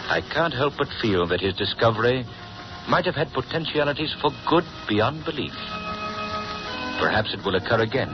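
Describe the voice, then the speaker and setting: A man playing a calm gentleman hero in a 1930s radio drama, speaking in a measured, reassuring way. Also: mid-range voice, over music, close to an everyday voice. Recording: noisy dull 1930s radio recording.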